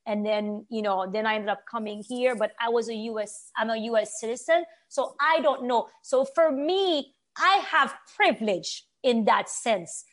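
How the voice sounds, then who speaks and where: Woman, higher-set voice, earnest and emphatic, conversational opinion talk, from Haiti. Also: moderate pace, hardly any pauses, a bit bright.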